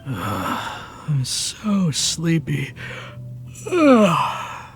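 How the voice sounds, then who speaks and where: A man's voice: fairly high pitch, huge pitch swings, extremely slow, a bit bright, soft background music, exaggerated voice. A man playing a groggy, confused villager in a video game, speaking hesitantly as if just woken. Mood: sleepy. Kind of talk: video game character acting.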